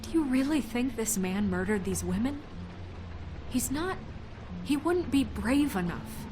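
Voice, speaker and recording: An actress playing a stern, principled woman in authority in a video game, speaking in a firm, measured, reproving way over music. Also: on the high side, expressive, background noise. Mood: reasoning, firm. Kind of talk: dramatic video game acting. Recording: music under the voice.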